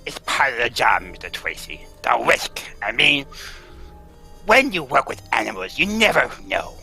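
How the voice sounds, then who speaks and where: Snappy, defensive circus trainer read by a man; wild range, gravelly. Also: medium pitch, sing-song, moderate pace, soft background music, full character voice. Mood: curt. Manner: defensive, snappy, hushed then shouting. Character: defensive woman animal trainer, sharp-tongued. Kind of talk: live old-time radio table read.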